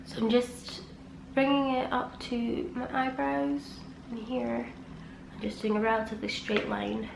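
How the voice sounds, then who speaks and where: A woman's voice: a little high, little pitch movement, measured pace, vocal fry. A woman with a nasal, bunged-up voice from a cold, chatting casually through a makeup routine at home. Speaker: beauty vlogger. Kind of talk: chatty get-ready-with-me talk. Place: home room beside a busy street. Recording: echoey room with traffic noise. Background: traffic noise.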